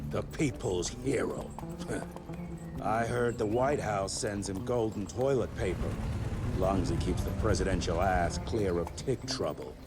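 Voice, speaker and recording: Low, gruff old gunslinger. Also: male voice, a little slow, over loud music. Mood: sardonic. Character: gruff, sardonic older cowboy vampire hunter nearing retirement.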